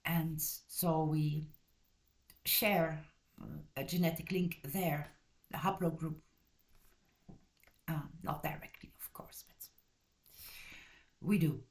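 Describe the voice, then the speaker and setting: Host, female, from Belgium, lowish voice, casual review delivery, rambling and self-correcting, blunt. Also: steady tone, slow pace, pauses often. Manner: blunt and direct. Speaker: channel host reviewing a book.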